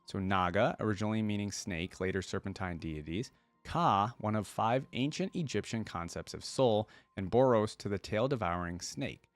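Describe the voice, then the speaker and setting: A man's voice: on the low side, moderate pace, no room sound, faint music behind. A man hosting a YouTube reaction, reading aloud and adding informal, conversational asides in a casual style.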